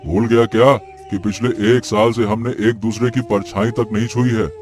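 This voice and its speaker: A man's voice: lowish voice, fairly even intonation, moderate pace, a little mellow, soft background music, lightly acted. A man voicing a village farmer in a cartoon story, talking to an old friend. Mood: wary.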